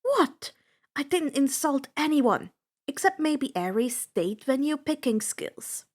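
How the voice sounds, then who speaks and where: Woman voicing young boy hero, storybook reading, sarcastic, cheeky, casual, lively intonation, lightish high pitch. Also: slow, exaggerated voice. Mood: mock innocence.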